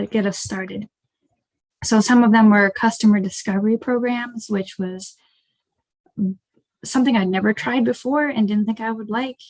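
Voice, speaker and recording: A woman's voice: medium pitch, a little mellow, no room sound, rough recording. An American woman giving an informal, conversational webinar talk as a startup co-founder over a video call. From rural Ohio, United States. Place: indoors, online video call.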